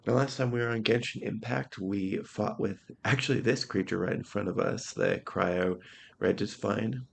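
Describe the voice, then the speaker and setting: A man hosting casual let's-play commentary, hoarse from illness, laid-back and clearing his throat often. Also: fairly low pitch, moderate pace, slight background noise. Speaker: gameplay host.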